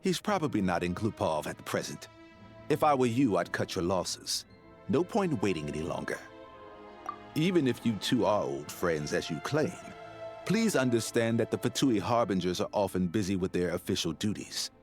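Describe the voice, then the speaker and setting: A self-important guard with a deep voice and expressive delivery. He is formal and exasperated, a stickler for protocol in a video game. Music plays behind him. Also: normal speed. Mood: condescending. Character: officious male gate guard, stern, self-important, protocol-bound.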